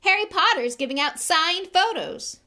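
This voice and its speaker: A woman voicing a scornful schoolboy bully from a storybook, loud, mocking and scathing. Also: very high-pitched, wildly swooping pitch, measured pace, voice pushed up, full character voice.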